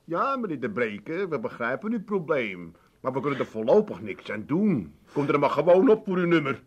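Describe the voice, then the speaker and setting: Exasperated Amsterdam son, swooping higher voice. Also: male voice, big pitch swings, moderate pace, breathy edge, lo-fi sound. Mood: aggrieved. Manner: agitated, stuttering repetitions. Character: exasperated, panicky working-class Amsterdam son. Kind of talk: comic radio drama acting.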